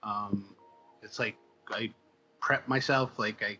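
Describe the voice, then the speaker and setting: Guy being interviewed, crisp bright voice, kinda halting and self-deprecating, just chatting. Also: on the low side, steady tone, muffled recording, soft background music. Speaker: guest, comic book writer. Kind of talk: casual interview chat.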